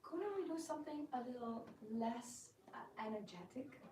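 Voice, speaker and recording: Woman acting high-voiced, sweet queen, echoey. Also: lively intonation, normal speed, close to an everyday voice. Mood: hesitant, worried. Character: sweet, anxious peacemaking queen and grandmother. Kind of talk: theatrical dramatic reading. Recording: echoey room, distant mic, background noise.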